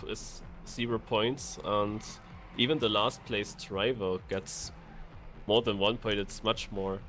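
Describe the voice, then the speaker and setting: A man's voice: fairly low pitch, slow pace, soft background music. A man co-casting a racing game tournament, chiming in with short reactions and laid-back explanations. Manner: short interjections and relaxed explanations. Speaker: co-caster. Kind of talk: live racing game commentary.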